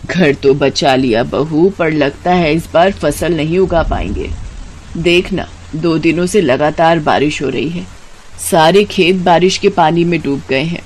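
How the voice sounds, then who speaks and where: A man's voice: a little high, measured pace, slight background noise, low-quality recording. A man voicing a weary, scolding elderly village mother-in-law in a Hindi cartoon story, exasperated and chiding. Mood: weary, worried.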